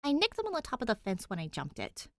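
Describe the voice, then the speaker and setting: A woman's voice: fairly high pitch, huge pitch swings, quick pace, no room sound, studio quality, voice pushed up. Woman voicing a prickly, defiant young girl. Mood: bitter, annoyed. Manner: bitter, hot-tempered, curt. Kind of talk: character voice in fan fiction audiobook.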